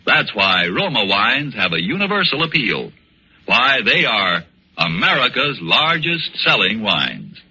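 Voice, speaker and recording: A man's voice: lowish voice, big pitch swings, a little slow, sharp tone, slightly rough. A man announcing a 1940s radio commercial with a polished, emphatic sales delivery. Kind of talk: 1940s radio advertisement read. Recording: muffled vintage 1940s radio recording.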